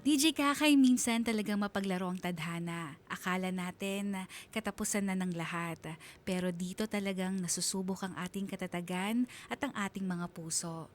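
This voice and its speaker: A woman's voice: on the low side, moderate pace, natural voice. An actress playing a sentimental married mother with a Filipino accent, narrating her own love story in a heartfelt, reflective way for a radio drama.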